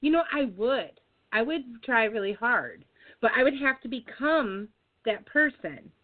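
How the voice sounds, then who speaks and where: A bubbly woman with a fairly high, childlike voice that swoops up and down as she chats and giggles. She is co-hosting a relaxed show. Her voice comes through a narrow, tinny, phone-like line. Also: measured pace. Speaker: co-host. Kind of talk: casual podcast chat. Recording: phone-like call audio.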